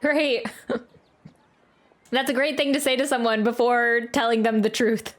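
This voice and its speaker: A woman's voice: on the high side, little pitch movement, slow. A woman streamer giving casual live game commentary, chatty and musing aloud, close to the mic. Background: game music.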